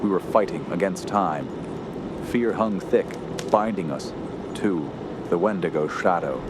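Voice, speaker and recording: A man's voice: low voice, a little slow, heavy background noise. An actor playing a haunted young man who narrates a horror radio drama in solemn, clipped phrases. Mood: dread. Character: haunted young nephew recounting wilderness terror. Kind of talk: scripted first-person horror radio narration. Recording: loud dramatic music behind.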